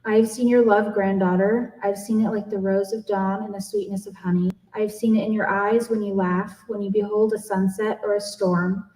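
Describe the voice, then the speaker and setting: A woman's voice: mid-range voice, level tone, normal speed, hardly any pauses, dark tone, close to an everyday voice. A woman voicing a loving, reassuring grandmother in a story reading. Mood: tender, insistent. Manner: tender, reassuring. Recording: echoey room, distant microphone.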